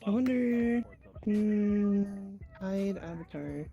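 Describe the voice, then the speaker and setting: A woman's voice: mid-range voice, lively intonation, slow pace, dark tone. A woman chatting casually on a live gaming stream, flustered, apologetic and hesitant. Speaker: host of a virtual-reality roleplay livestream. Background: music.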